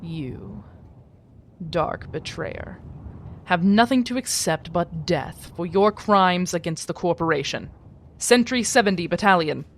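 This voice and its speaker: Woman as ruthless cyborg villainess, lower-pitched, measured and slow, robotic formal delivery, menacing, audio drama. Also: expressive, a little hiss or hum. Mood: cold, commanding. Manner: formal, clipped, robotic pronouncements. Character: cold female cyborg corporate commander, ruthless and menacing.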